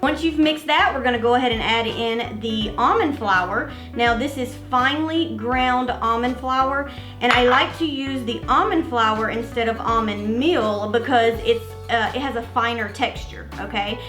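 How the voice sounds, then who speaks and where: A woman's voice: a little high, measured pace, fairly bright tone, roomy sound, soft background music. A young woman with a Mississippi Southern accent hosting a casual cooking tutorial, explaining each step in a chatty, friendly way. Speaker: cooking vlogger host.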